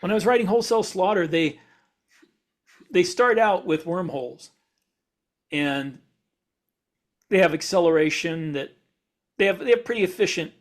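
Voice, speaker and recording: A man co-hosting a podcast as an author, explaining things in casual shop talk with wandering, self-correcting sentences. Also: fairly high pitch. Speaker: podcast host and science fiction author.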